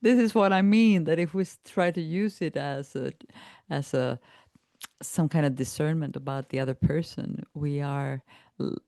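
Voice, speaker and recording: A woman's voice: low voice, normal speed, mellow, no room sound, studio quality. A reflective woman explaining ideas. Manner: reflective and explanatory, laughs easily. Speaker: podcast host and trainer. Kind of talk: reflective conversation.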